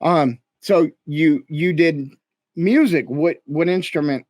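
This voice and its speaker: High-voiced guy hosting a chill interview, encouraging, dry close sound. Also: a little mellow, no room sound. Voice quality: high-pitched voice. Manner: encouraging, quick to agree. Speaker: interview host. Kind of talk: casual interview chat.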